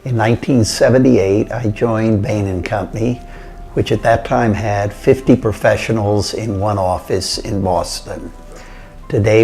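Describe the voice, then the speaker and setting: An older man from Costa Rica, telling his life story in a measured, steady delivery. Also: on the low side. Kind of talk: prepared autobiographical narration.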